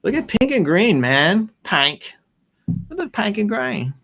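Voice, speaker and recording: A man's voice: high-pitched, very animated, takes it slowly, creaky, fairly bright tone. A man hosting a show, casually reading posts aloud and commenting in a playful, rambling way with exaggerated emphasis. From American South. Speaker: show host.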